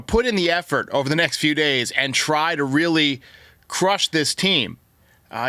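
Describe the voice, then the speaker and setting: A man delivers baseball commentary as a podcast host. His voice is slightly high and very bright, with a forceful edge. The sound is dry and close, and his delivery stays conversational. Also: no echo.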